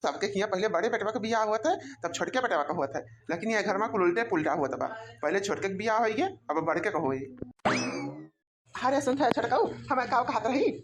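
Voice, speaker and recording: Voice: mid-range voice, animated, a little fast, slight background noise, close to an everyday voice. A performer voicing a smug, mocking young husband in an Awadhi cartoon comedy, teasing and boastful with an Awadhi accent. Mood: amused, teasing. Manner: teasing, boastful, rambling mockery.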